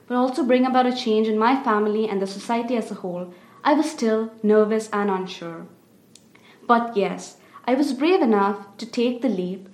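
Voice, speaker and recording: A woman's voice: mid-range voice, darkish tone. Young Indian woman reading with roomy echo. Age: young adult. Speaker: undergraduate student. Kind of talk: reading a personal essay aloud.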